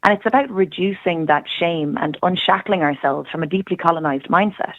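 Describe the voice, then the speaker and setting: A woman's voice: lowish voice, brisk, no echo, phone-quality sound. An Irish woman, a language teacher and guest, talking earnestly and eagerly in long runs on a radio chat show. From Ireland. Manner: earnest and enthusiastic, talks in long flowing runs. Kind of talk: casual radio interview.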